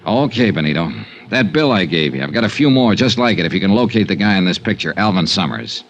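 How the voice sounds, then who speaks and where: A world-weary American investigator in a classic radio drama. His voice sits a little low but moves through a wide range. He is clipped, wry and sardonic, as both narrator and lead. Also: animated, fast talker, highs cut off. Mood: probing, guarded. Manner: sardonic, clipped, hard-boiled. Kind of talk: old-time radio drama narration and acting.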